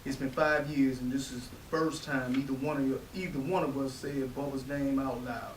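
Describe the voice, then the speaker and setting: A man's voice: medium pitch, fairly even intonation, normal speed, close to an everyday voice. Man playing a brash street-tough brother, roomy and distant. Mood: grave, remorseful. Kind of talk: staged play reading. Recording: distant roomy stage recording with hiss.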